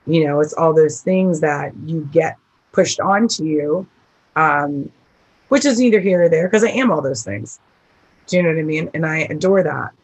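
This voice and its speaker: A woman from the Midwest with a rough voice, chatting casually as a guest about teaching horse riding, rambling and laughing often. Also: sits low, moderate pace, poor audio. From American Midwest. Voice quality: rough, husky voice. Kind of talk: casual interview talk.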